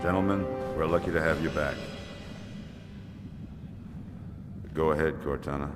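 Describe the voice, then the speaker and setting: Deep-voiced, commanding fleet admiral. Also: male voice, steady tone. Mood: dignified, formal. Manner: authoritative, measured. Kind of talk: game cinematic acting. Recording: long hall reverb, music behind.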